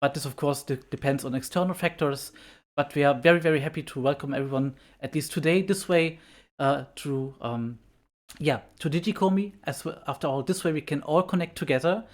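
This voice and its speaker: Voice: medium pitch, fairly quick. Hesitant panel host. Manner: hesitant, many uhs and restarts, laughs often. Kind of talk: panel interview.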